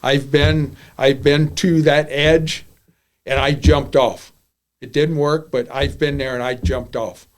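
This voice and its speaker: A man's voice: fairly high pitch, steady tone, takes it slowly. A man in his seventies hosting a podcast, talking candidly and bluntly, often repeating himself as he goes. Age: 70s. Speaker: podcast host. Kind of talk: casual podcast monologue.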